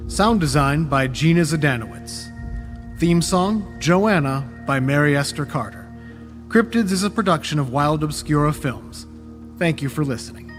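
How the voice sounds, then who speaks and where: Over light music, a man with a slightly high voice serves as announcer. He reads scripted podcast credits. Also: expressive, moderate pace.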